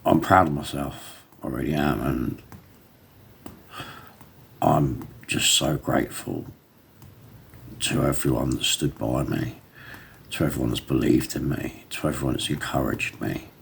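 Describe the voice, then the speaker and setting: A middle-aged man vlogging to his phone, hoarse from a lost voice, rambling and defiant. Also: rumbling low, measured pace, long pauses, a little hiss or hum. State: hoarse, recovering voice. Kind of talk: casual talk to camera.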